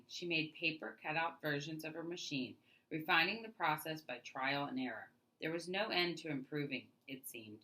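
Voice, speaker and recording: A woman's voice: sits low, bright, forceful tone, low-quality recording. A woman reading a children's story aloud as narrator, leaning into the start of phrases and trailing off at their ends.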